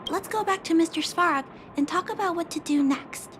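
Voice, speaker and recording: A woman voicing a gentle, earnest young girl who speaks softly and politely, in a game cutscene with music. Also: extremely high pitch, fairly quick, slight background noise.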